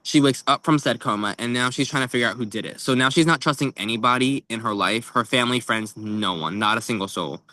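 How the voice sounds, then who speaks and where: A man with a bright, clear-edged voice co-hosts a book podcast. He talks casually and informally, feeling his way through phrases with plenty of "like". The sound is close and dry, at an average male pitch. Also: fast talker, hardly any pauses, dry recording. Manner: informal, tentative phrasing with frequent "like". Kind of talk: casual chat about books.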